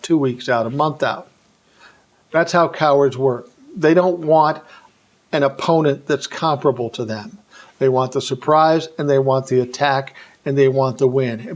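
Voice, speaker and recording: A man's voice: mid-range voice, darkish tone. An American man delivering an opinionated political monologue in blunt, colloquial terms, posing rhetorical questions and answering them himself. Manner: blunt, colloquial, emphatic phrasing. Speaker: political commentary vlogger.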